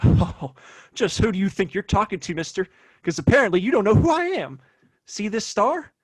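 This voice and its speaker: High-pitched, hot-headed Wild West bully. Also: male voice, sing-song, normal speed, breathy edge, full character voice. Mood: menacing, indignant. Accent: American. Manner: blustering, folksy drawling insults. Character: cocky, hot-tempered Wild West gunslinging bully with a badge. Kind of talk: audio play acting, western.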